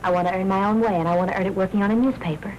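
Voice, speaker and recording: An actress playing an eager young would-be reporter in a 1940s film, earnest and coaxing. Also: female voice, medium pitch, steady tone, very fast, darkish tone, highs cut off, lightly acted. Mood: eager, polite. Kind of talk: 1940s film noir acting. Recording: noisy 1940s film soundtrack.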